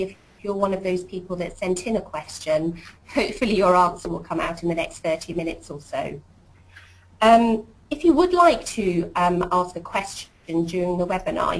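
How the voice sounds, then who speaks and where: A woman's voice: on the low side, poor audio. Chatty woman hosting a webinar. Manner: warm, chatty, many ums and uhs. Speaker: webinar host, programme director. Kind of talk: presenting a corporate webinar.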